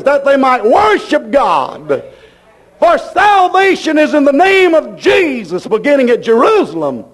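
A man preaching a fervent sermon, emphatic and declarative, repeating phrases to drive them home. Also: extremely high pitch.

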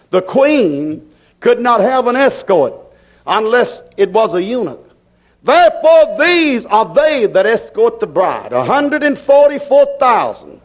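A man preaching a fervent sermon, emphatic and declarative, repeating phrases to drive them home. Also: very high-pitched.

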